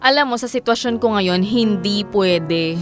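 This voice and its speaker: A woman's voice: mid-range voice, measured pace, close to an everyday voice. Woman acting weary young breadwinner over music. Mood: weary, resigned. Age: 30s. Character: overworked, self-sacrificing breadwinner daughter, thirty-three, patient but weary. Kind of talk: Filipino audio drama dialogue. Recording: music under the voice.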